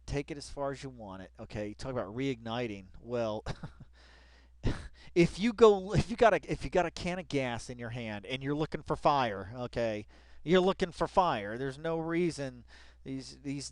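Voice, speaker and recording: A man's voice: medium pitch, smooth, no room sound. A man giving casual commentary as he reads aloud, rambling with exclaiming, self-interrupting asides and a sarcastic, mock-dramatic delivery. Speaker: online commentator. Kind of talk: casual commentary while reading an article aloud.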